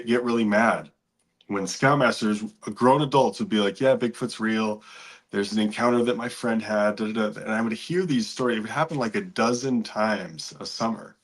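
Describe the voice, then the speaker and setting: A man's voice: on the low side, fairly bright tone. A man of about forty chatting casually as a guest on a talk show. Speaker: podcast guest. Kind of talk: casual chat. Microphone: online video call.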